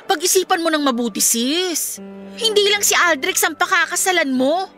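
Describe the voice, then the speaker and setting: High, bright, blunt best friend. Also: female voice, expressive, normal speed, exaggerated voice. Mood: exasperated scolding. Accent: Filipino. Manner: scolding, emphatic, straight-talking. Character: blunt, exasperated best friend, a woman with a cheating ex. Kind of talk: Filipino radio drama acting. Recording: music playing behind the dialogue.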